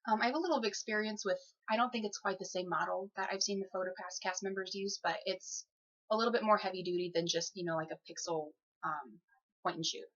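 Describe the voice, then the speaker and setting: A dull, lo-fi recording of a young woman with a medium-pitched voice. She speaks politely and conversationally, like an applicant in a job interview. Her manner is easygoing and a little giggly. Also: steady tone, fast talker, fairly bright tone. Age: young adult.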